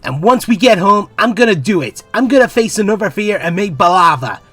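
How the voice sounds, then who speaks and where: A woman's voice: medium pitch, a little fast, breathy edge, no echo, soft background music. A woman voicing an earnest, caring young male space captain with an emphatic boyish manner, in a fan comic dub. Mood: excited. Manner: emphatic, boyish, swinging from hushed to excited.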